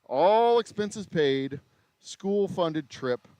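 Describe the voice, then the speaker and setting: A man in his forties with an American accent, hosting a casual livestream from his small distillery while he works, his voice a little raspy after hours of talking. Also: sits high, expressive, extremely slow, dark tone, no room sound. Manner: rambling, self-interrupting, mild swearing. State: voice getting raspy from long talking. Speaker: distillery owner and livestream host. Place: small craft distillery shop.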